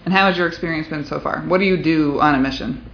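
A male podcast host with a voice a touch higher than most, talking quickly. He is upbeat, friendly and chatty as he interviews colleagues in a hospital, and the recording is dull and lo-fi. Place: hospital on a surgical mission.

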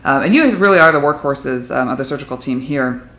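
A man's voice: mid-range voice, expressive, a little fast. A man hosting a podcast and interviewing his surgical team during a hospital mission, chatting in an upbeat, friendly way. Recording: dull lo-fi recording.

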